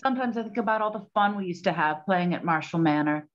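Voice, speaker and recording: A woman's voice: on the low side, fast talker, close to an everyday voice. A woman playing a proud, matter-of-fact lady detective of the 1920s in a radio-style play. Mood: reminiscing. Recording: dull, muffled lo-fi sound.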